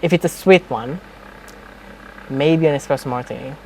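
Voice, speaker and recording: A young man hosting a podcast, asking questions in a relaxed, hesitant way with many fillers. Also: on the high side, moderate pace, a little hiss or hum, poor audio. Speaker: podcast host. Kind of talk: casual interview chat.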